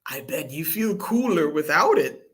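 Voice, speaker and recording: A woman voicing a greedy male dog trickster from a children's story, wheedling and bullying in an animated way. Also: low voice, measured pace, breathy edge, full character voice. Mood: sly, wheedling. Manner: wheedling turning to growled threats.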